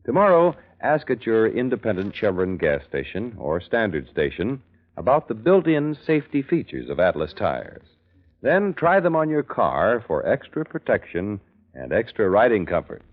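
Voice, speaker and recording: A man's voice: on the low side, huge pitch swings, moderate pace. An American man delivering scripted announcements in a 1940s radio style. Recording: dull vintage radio recording. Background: music.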